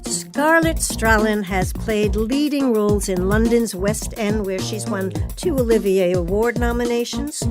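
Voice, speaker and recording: Woman host introducing over prominent music. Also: medium pitch. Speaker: program host. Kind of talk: scripted introduction.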